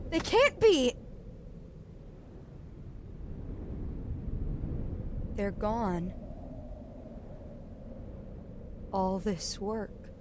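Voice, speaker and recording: A woman's voice: fairly high pitch, background noise. A woman playing an earnest, determined young heroine burdened with guilt in a family audio drama. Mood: dismayed. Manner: earnest, halting. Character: determined, guilt-ridden young heroine climbing a magical mountain.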